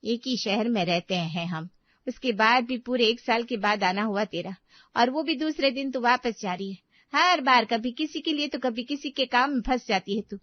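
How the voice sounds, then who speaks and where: Woman playing a plaintive mother, high voice. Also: moderate pace, no room sound, lo-fi sound, natural voice. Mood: hurt, reproachful. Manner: reproachful, plaintive. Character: hurt, possessive older mother of married daughter.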